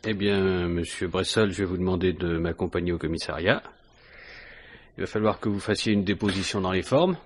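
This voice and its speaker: A man's voice: deep voice, fairly quick, close to an everyday voice. Matter-of-fact police inspector played by a man, noisy recording. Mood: businesslike. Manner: informal, matter-of-fact questioning. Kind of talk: French radio crime drama acting. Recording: noisy vintage radio recording.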